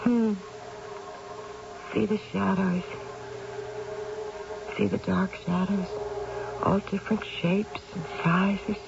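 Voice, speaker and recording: Anguished middle-aged wife, creaky voice, wry and halting, old radio drama, muffled noisy recording. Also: female voice, medium pitch, fairly even intonation. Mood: fragile, frightened. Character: anguished, insomniac wife and mother, wryly bitter.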